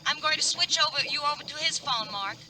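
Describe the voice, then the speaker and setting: Brisk, bright-voiced diver's wife. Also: female voice, sits high, animated, far from the mic, a little hiss or hum, exaggerated voice, rough recording. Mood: urgent. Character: diver's wife, brisk, cool, watchful American woman. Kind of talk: 1950s radio drama acting. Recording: vintage radio broadcast, off mic, music.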